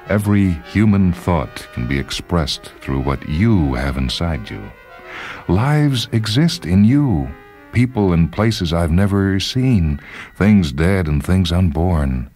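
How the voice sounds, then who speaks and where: A man voicing a lonely, yearning woman in a story reading, with pleading, incantatory, drawn-out phrases. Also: sits low, darkish tone, pitched above the performer's own voice.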